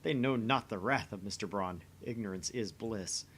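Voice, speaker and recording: A man's voice: lowish voice, normal speed, a bit bright, a little hiss or hum, close to an everyday voice. A man voicing a theatrical, grumbling young mad scientist, sardonic and dramatic, in a visual novel read-through.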